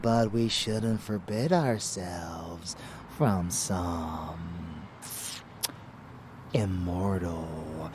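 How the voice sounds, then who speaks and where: A man playing a haughty, seductive and menacing vampire lover in an intimate ASMR roleplay, with breathy, teasing murmurs. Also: low voice, noisy background. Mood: smug, superior. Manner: breathy whispering murmurs, slow and deliberate, teasing.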